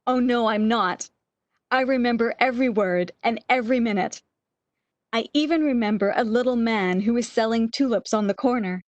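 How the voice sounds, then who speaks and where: A woman's voice: on the high side, moderate pace, no room sound, lo-fi sound, close to an everyday voice. A woman playing a bedridden, lovesick woman in a radio romance, with breathless, emotional, old-fashioned melodramatic delivery. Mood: eager, nostalgic. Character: bedridden lovesick woman, wistful, eager, longing for lost love.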